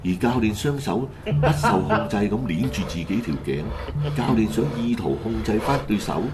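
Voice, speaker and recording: Deep, animated Hong Kong Cantonese male narrator. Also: normal speed, heavy background noise. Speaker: radio drama narrator. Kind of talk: dramatic scripted horror storytelling. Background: loud music.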